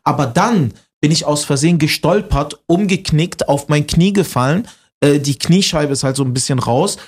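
A man's voice: fairly high pitch, moderate pace, breathy edge. Jokey man in casual conversation. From Berlin, Germany. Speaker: stand-up comedian, interview guest. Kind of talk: casual chat.

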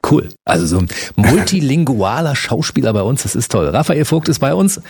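A man's voice: medium pitch, sing-song, a little fast, vocal fry, darkish tone, husky. A man with a Berlin accent, chatting casually with guests as the host of a radio talk show. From Berlin, Germany. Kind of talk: casual chat interview.

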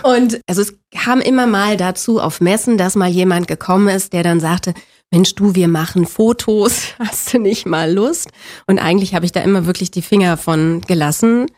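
A woman in her forties from Berlin, a guest talking openly and warmly, often with fillers and laughter. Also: lowish voice, normal speed. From Berlin, Germany. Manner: open, friendly, laughs often, many fillers. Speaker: actress, guest. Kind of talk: casual interview chat.

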